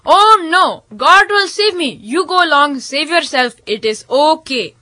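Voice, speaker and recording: Woman playing a stubborn, simple holy man, bright-voiced. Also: sits high, animated, measured pace, no room sound, voice pushed up, full character voice. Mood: calmly refusing. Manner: insistent, repetitive refusals with exclaimed "oh no". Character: devout, simple-minded holy man, stubbornly trusting, politely refusing.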